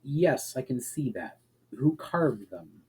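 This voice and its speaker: Brief: male narrator plays wary elf man, proud, insistent, always questioning, relaxed dark-toned voice, fantasy audiobook. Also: medium pitch, slow, breathy edge, full character voice. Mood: puzzled.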